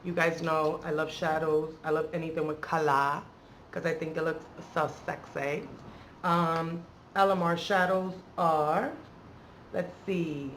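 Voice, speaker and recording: A woman's voice: sits low, a little hiss or hum. A woman hosting a beauty vlog in a casual, chatty, slangy way, wandering into playful asides. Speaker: beauty vlogger and channel host.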